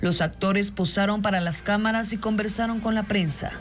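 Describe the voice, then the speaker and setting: A woman presents scripted entertainment news. Her voice is medium in pitch, and there is faint background noise around it. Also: fairly even intonation, normal speed. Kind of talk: scripted showbiz news reading.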